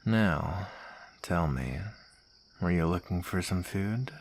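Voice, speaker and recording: Man acting a taunting soldier villain, deep voice, slow menacing drawl, mockingly sweet, smug, audio roleplay. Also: lively intonation, gravelly, slight background noise, full character voice.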